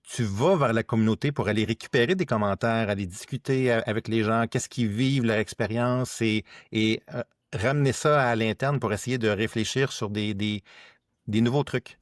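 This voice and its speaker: Low-voiced Quebec man, halting podcast talk. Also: no room sound, studio quality. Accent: Quebec French. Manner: halting, repeats words. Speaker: podcast host. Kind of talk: casual tech podcast conversation.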